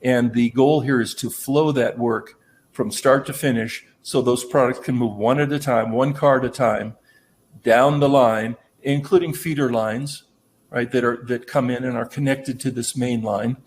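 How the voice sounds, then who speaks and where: A man presents a manufacturing training session in an easygoing, conversational manner. His voice sits at an ordinary middle pitch, and he keeps checking in with his listeners as he explains. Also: steady tone, normal speed, slight background noise. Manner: conversational, explanatory, tag "right?".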